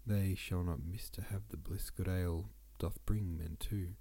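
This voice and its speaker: A man's voice: low voice, normal speed, breathy edge. A podcast host, a man, reading old poems aloud and chatting about them, sniffly and congested. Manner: reads verse aloud with relaxed asides. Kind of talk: casual poetry reading and commentary.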